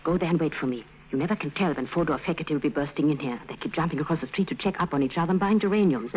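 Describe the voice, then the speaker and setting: Casting: woman acting a scheming, insistent flower-shop girl for old radio drama, very rapid talk, dull vintage sound. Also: sits low, lightly acted. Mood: urgent, conspiratorial. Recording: muffled, noisy vintage radio recording.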